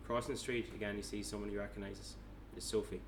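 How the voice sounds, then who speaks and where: A man narrates a screenplay at a table read in a plain, matter-of-fact way. His voice is lowish and very even in pitch. It is picked up from far off in a noisy, echoey room. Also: fast. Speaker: narrator reading stage directions at a table read. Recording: echoey room, distant mic, noisy background.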